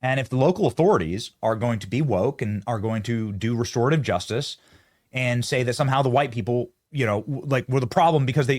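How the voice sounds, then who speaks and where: A male talk-show host with a lively, swooping voice. He asks his guest pointed questions in an animated, incredulous way. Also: mid-range voice.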